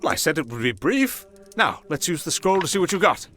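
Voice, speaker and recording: A man voicing a kindly, wry old high priest in a fantasy audio roleplay, with fatherly, ceremonious, unhurried phrasing. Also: sing-song, a bit bright, faint music behind. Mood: genial, ceremonious. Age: older.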